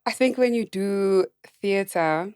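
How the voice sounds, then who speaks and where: A young woman from South Africa with a deep voice, chatting casually as a podcast guest and laughing often. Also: slow pace, a little mellow, smooth, no room sound, studio quality. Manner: laid-back, laughs often, slangy. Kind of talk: casual chat.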